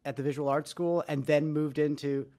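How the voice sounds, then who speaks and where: A man's voice: fairly high pitch, fairly even intonation, normal speed, warm tone. A man hosting a podcast, greeting his guest and asking questions in a friendly way. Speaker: podcast host.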